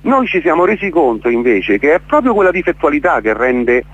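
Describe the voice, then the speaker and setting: A man's voice: fairly high pitch, very animated, brisk, a bit bright, husky. A man being interviewed on the radio as an event organiser, explaining emphatically and with emotion, repeating words for stress. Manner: impassioned, emphatic, repeats words, checks with "capito?". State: emotionally worked up. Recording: dull, lo-fi radio sound.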